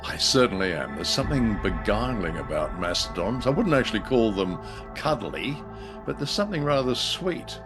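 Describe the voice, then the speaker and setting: A man's voice: on the low side, sing-song, normal speed. A man co-hosting a show in conversational, wry, understated banter. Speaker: co-host. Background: music.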